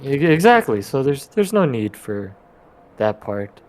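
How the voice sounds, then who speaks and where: Male, mid-pitched, tentative tabletop player improvising dialogue, stop-and-start delivery. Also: big pitch swings. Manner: hesitant, halting delivery with trailing phrases. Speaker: player in a tabletop role-playing game.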